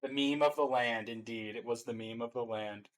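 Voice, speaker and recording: Casting: man, average pitch, reaction-stream co-host, informal banter with a dry teasing edge. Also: moderate pace, poor audio. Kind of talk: casual reaction commentary and banter.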